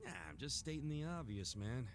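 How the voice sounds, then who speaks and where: An actor playing a brash, good-hearted drinking buddy in a video game, blunt, earnest and easygoing. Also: male voice, mid-range voice, animated, brisk, a little hiss or hum, lightly acted. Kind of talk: English video game voice acting.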